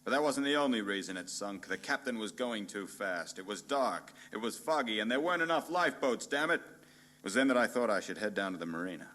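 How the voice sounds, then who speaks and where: A man playing a hard-boiled, pompous and bumbling private detective in a noir radio play parody, narrating in a deadpan, melodramatic way. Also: fairly low pitch, a little fast. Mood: deadpan world-weary.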